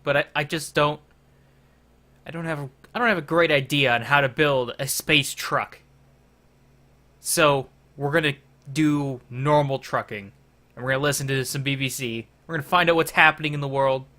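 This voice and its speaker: Man, bright forward voice, casual game commentary, hesitant, bemused, rambling. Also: a little high, fairly even intonation, moderate pace. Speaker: host of a gaming driving series.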